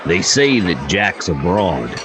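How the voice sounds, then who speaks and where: Man acting a gruff, slow old saloon storyteller. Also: low-pitched, sing-song, music in the background, crowd noise, full character voice. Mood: irritable. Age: older. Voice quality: hacking, wheezy old voice. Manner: folksy, gruff, drawn-out yarn-spinning. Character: cantankerous old storyteller in a Wild West saloon.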